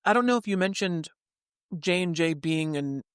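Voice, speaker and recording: A man's voice: high-pitched, lively intonation, normal speed, smooth, no room sound, clean studio sound. A man co-hosting a podcast, telling rambling stories in casual chat. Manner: rambling anecdotes with fillers. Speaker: podcast co-host.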